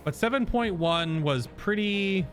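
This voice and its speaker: A man's voice: high-pitched, expressive, unhurried, a bit bright, soft background music. A man giving casual, informal reaction commentary as a game streamer, joking and swearing freely.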